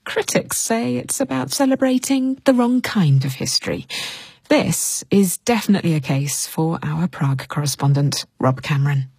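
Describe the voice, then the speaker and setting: A woman introducing a news report as the presenter, with a breathy voice. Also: fairly low pitch, animated, normal speed, darkish tone.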